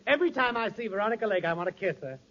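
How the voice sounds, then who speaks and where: Bright, snooty high-voiced hotel clerk. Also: male voice, lively intonation, racing. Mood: fussy, courteous. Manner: prim, haughty. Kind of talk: 1940s radio comedy acting. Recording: muffled old radio recording.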